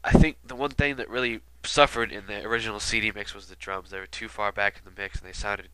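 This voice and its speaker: A man's voice: fairly low pitch, fairly even intonation, fast, bright, forceful tone, clean tone, low-quality recording. Stuffy-nosed man rambling casually. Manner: rambling, many fillers and asides. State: sick with a stuffy nose. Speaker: video commentator. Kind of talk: casual album retrospective commentary.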